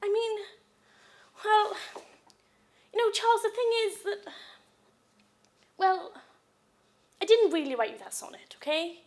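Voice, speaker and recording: A woman's voice: extremely high pitch, sing-song, a little slow, gravelly, pauses often, darkish tone, exaggerated voice. A woman performing a stage monologue as a jilted, clingy wife, sarcastic and desperately in love. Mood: sheepish, fragile.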